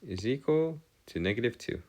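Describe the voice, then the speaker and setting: A man giving a step-by-step math lesson as a tutor, halting and pausing to check himself, with formal, bookish wording. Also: medium pitch, lively intonation, a little mellow. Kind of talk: lesson, working through equations step by step.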